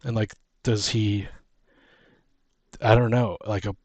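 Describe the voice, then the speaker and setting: A man co-hosting a casual chat, brainstorming fantasy lore in a halting, thinking-out-loud way. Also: fairly low pitch, fairly even intonation, no room sound. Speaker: podcast co-host.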